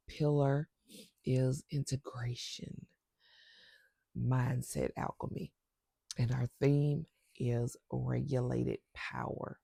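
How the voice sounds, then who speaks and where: A woman with a stuffy nose guiding a spiritual intention ceremony with breathwork, speaking slowly and softly and often dropping to a whisper. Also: extremely low pitch, creaky, slightly rough, dry recording. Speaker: spiritual circle facilitator.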